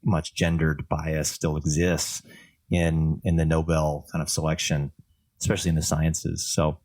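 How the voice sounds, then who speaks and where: A man's voice: rumbling low, flat delivery, unhurried, darkish tone, no echo. A man from Canada chatting casually as a podcast co-host, explaining things in a thoughtful, discursive way.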